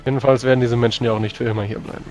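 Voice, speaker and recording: A man's voice: mid-range voice, flat delivery, very fast, a little mellow, lightly acted. A man playing a dry, world-weary warrior of a religious order in a tabletop roleplay, speaking bluntly. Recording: music behind.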